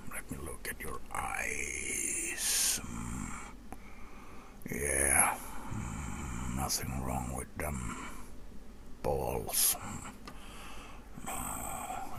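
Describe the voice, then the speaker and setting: Very deep, gravelly vampire hunter played by a man. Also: full character voice. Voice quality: gravelly, breathy half-whisper. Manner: slow, rambling, muttering with casual asides. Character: gruff, seasoned male vampire hunter mentoring a rookie. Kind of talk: soft-spoken ASMR roleplay, often whispering. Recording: clearly audible background noise.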